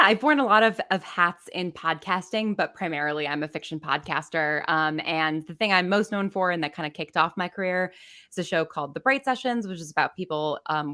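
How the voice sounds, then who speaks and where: A woman guest on a streamed interview speaks from a video call. Her voice sits low for a woman, and she chats with easy enthusiasm, dropping in plenty of fillers. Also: pushed and bright. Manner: chatty, enthusiastic, frequent fillers. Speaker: podcast guest, fiction writer. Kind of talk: casual interview chat.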